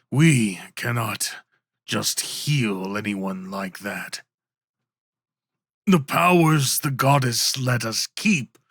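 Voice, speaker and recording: A man voicing a huge, stoic infernal wolf in an ASMR roleplay, speaking in a deliberate, formal and teasing way. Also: mid-range voice, vocal fry, a bit bright, husky, no echo, clean studio sound, exaggerated voice. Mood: solemn, guarded. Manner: slow, deliberate, formal speech. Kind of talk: ASMR roleplay character acting.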